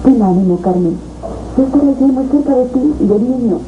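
A woman's voice: on the high side, expressive, brisk, roomy sound, narrow, tinny audio, music in the background, lightly acted. A woman playing a kind, sympathetic, encouraging friend in a 1970s telenovela. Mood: supportive. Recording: noisy old TV videotape.

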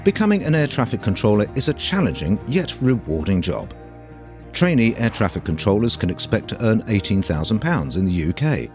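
A man's voice: on the low side, darkish tone. A man reading scripted documentary narration in a formal, informative manner. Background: guitar music.

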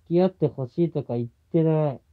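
A man's voice: fairly high pitch, brisk, narrow, tinny audio, close to an everyday voice. A man playing a smitten, apologetic young boyfriend in an intimate ASMR voice drama, speaking casually and tenderly. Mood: hurt, apologetic.

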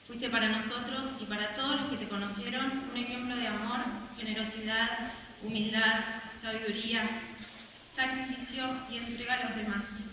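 Young woman, Argentine Spanish, high voice, steady heartfelt eulogy; long church reverb, distant mic. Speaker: granddaughter giving a eulogy. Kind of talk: reading a prepared farewell speech. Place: large church, indoors. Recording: echoey church from far off, with background noise.

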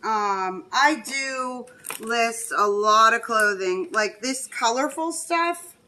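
A woman's voice: a little high, expressive, slow, pushed and bright. A middle-aged woman hosting a vlog, chatting unhurriedly to viewers while sorting through a storage unit. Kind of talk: casual narration to viewers. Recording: clattering noise of handled items.

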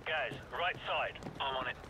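An actor playing a terse, disciplined special forces soldier in a shooter game, giving clipped, commanding combat callouts. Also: male voice, medium pitch, moderate pace, noisy background, lightly acted. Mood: terse, alert. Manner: curt, commanding. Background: gunfire.

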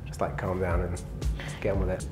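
A man in his thirties from England, answering interview questions in a relaxed, easygoing way with plenty of fillers, on a lapel mic in an indoor lounge. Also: low voice, a little fast, music in the background. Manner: relaxed, laughs easily, many fillers. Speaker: interview guest, engineering manager.

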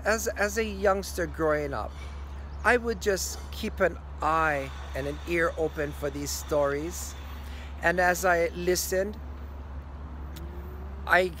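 An older man with a Hawaiian accent telling a ghost story to the camera, slowing down and going quiet at the key moments. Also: a little high, a little hiss or hum, poor audio. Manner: slow, hushed at key moments. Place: green screen studio. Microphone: facing camera at close range.

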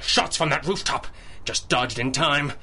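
A man's voice: a little high, measured pace, bright, forceful tone, slight background noise. An actor playing a determined masked vigilante hero in a 1930s-style radio drama, narrating his own actions with melodramatic urgency. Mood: alarmed, determined.

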